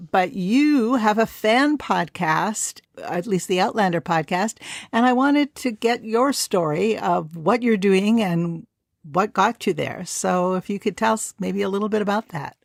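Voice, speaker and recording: A woman's voice: medium pitch, no room sound, clean studio sound. Chatty woman podcast host. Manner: chatty, with long wordy questions. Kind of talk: interview hosting.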